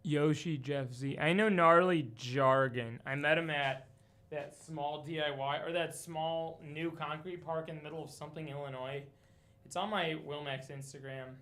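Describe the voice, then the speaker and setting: A man's voice: fairly even intonation, normal speed, fairly bright tone. A man in his late twenties chatting casually on a livestream while he works, rambling and half talking to himself in skater slang. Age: late 20s. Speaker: livestreamer doing DIY studio build. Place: echoey indoor room under renovation. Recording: echoey room, distant mic, faint rumbling noise.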